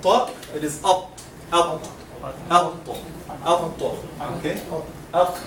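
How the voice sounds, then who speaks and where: A man from Egypt leads a classroom lesson, drilling sounds slowly so students can echo them. His voice sits a little high. He is recorded from a distance in a reverberant, noisy room. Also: steady tone, a bit bright. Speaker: Quran recitation teacher. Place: lecture classroom. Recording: roomy classroom, mic far off, noisy.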